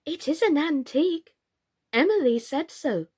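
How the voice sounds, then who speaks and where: Woman voicing a meek, high, slow little doll. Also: expressive, darkish tone. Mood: curious. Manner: meek, wondering. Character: humble, simple, sweet-natured female doll, easily pleased.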